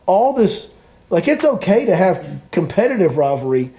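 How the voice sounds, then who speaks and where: A man's voice: sits high, very animated, moderate pace, mellow. A man hosting a podcast, giving his opinion emphatically and repeating points as he rants. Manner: emphatic, repetitive, ranting. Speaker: podcast host and fishing guide.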